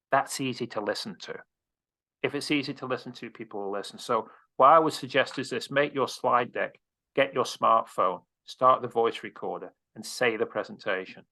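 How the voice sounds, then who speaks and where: Male speaking coach, bright voice, blunt and casual, a mid-range pitch. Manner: direct, casual, swears freely. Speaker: presentation coach. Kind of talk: interview answers, advice.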